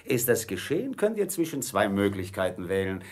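An actor playing a cunning, commanding pirate ringleader in a radio play, theatrical, by turns wheedling and menacing. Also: male voice, fairly low pitch, big pitch swings, fairly quick, a little mellow. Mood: bargaining.

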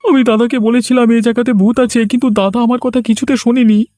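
A man voicing a nervous, excitable villager who is fearful of ghosts in a horror cartoon. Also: very high voice, fast talker, no room sound, studio quality. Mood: spooked. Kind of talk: Bengali horror cartoon dialogue.

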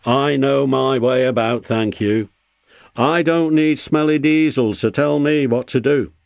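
A man's voice: deep voice, lively intonation, takes it slowly, vocal fry, slightly rough, no echo, clean studio sound, heavily acted. A man voicing a grumpy, cocky, rude little steam engine in a storybook, blunt and huffy.

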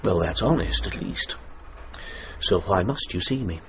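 An actor playing a gruff, impatient British Army general in a radio drama, brusque and pompous, with an upper-class English accent. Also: male voice, sits low, animated, measured pace. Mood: brusque, sceptical. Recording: muffled radio drama with clear noise.

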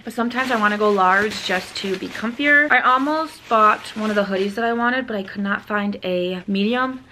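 Slightly hoarse chatty woman in her twenties. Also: medium pitch, bright, forceful tone. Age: 20s. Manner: chatty, rambling, lots of "like". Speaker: lifestyle vlogger. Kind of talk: casual vlog chat. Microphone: handheld compact camera.

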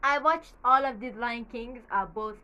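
Bright-voiced young woman rambling casually. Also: on the high side, a little slow, rough recording. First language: Farsi. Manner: rambling, chatty, with many fillers and restarts. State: a little nervous. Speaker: YouTuber. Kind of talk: casual movie commentary. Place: small bedroom, indoors.

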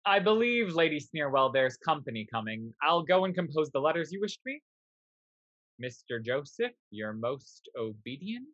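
A young man acts an obsequious schemer in a period comedy. His voice is husky with lively swings of pitch. The sound is dull and dry, like a lo-fi video call. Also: moderate pace, dry recording. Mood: deferential. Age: young adult. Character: sly, obsequious male schemer and henchman. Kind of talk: period stage comedy acting. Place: indoor room, performing on video call.

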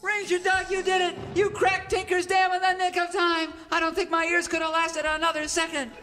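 An excitable, earnest cowboy sidekick in a comic radio western. The voice is extremely high and bright, full of exclamation. It echoes in a hall. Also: male voice, brisk. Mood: excited. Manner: exclamatory, gee-whiz. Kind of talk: parody of old radio western. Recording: echoey hall, faint music.

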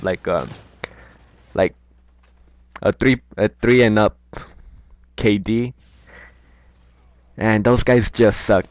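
A man with a Mexican accent giving casual, rambling, slangy commentary over his gameplay. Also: fairly low pitch.

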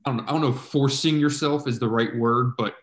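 A man's voice: medium pitch, a little fast. Hesitant reporter man in an echoey room. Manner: hesitant, rephrases mid-question. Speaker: sports reporter. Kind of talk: press conference question.

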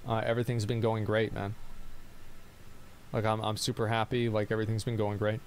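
A tired man in his thirties streaming, reading viewer questions and answering in a rambling monotone full of fillers and restarts. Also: lowish voice, fairly quick, a little hiss or hum.

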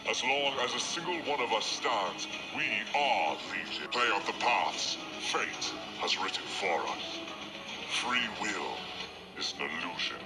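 An ancient game villain, grandiose and fatalistic. His theatrical speech is slow and swings widely in pitch. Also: big pitch swings, loud music behind the voice, low-quality recording. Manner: theatrical, measured oratory.

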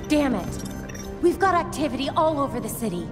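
In a reverberant space over music, a focused young heroine for a video game. Her voice is fairly high, practical and matter-of-fact. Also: sing-song, a little fast. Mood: frustrated. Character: practical, focused young female tech-savvy vigilante. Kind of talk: video game cutscene acting. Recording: long hall reverb, loud music behind.

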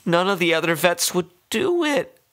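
A high, breathy woman who coos with eerie doting sweetness, as a male storyteller puts her on. Her pitch swoops and wavers in a storybook reading. The sound is close and dry, with no room around it. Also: very animated, moderate pace, a bit bright, dry recording. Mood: cooing. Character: unhinged middle-aged woman, doting pet owner.

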